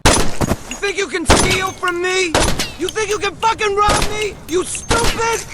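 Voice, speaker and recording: Enraged vengeful lady, super high screechy pitch, game cutscene, really loud gunfire noise. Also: unhurried. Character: furious, vengeful woman betrayed by a thief. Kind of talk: video game cutscene acting.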